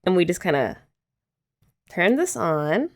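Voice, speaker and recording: Low, gravelly-voiced young woman in ASMR roleplay. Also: very animated, takes it slowly. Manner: chatty, explaining step by step, teasing. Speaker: ASMR creator.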